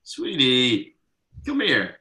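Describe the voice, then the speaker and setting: Man playing a bright-voiced, easygoing tired dad. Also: mid-range voice, huge pitch swings, extremely slow, full character voice. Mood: gentle, explaining. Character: exhausted, easygoing 37-year-old slacker dad. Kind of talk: naturalistic comedy table read.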